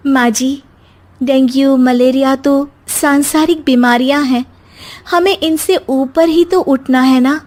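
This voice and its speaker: A woman voicing a pious, naive young daughter-in-law in a cartoon, gently stubborn and earnestly preachy. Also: sits high, steady tone, slow pace. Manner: preachy, earnest, sing-song moralizing. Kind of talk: cartoon moral comedy acting.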